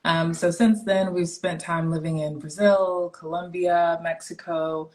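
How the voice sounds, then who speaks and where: A woman's voice: on the low side, fairly even intonation, measured pace, a little mellow. A young American woman talking casually as a guest in a conversation. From United States. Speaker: guest, traveling parent. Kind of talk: casual chat.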